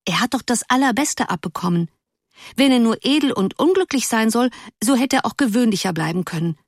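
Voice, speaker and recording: Woman doing a blunt dark-haired teenage girl. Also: fairly high pitch, expressive, fairly quick, no echo, studio quality, voice pushed up. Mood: reproachful.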